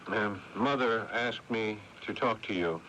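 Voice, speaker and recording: An actor playing a dutiful, restrained brother in a 1980s radio drama, speaking in a measured, hesitant, understated way. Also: male voice, lowish voice, sharp tone. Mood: cautious, mild. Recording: noisy 1980s AM radio airing.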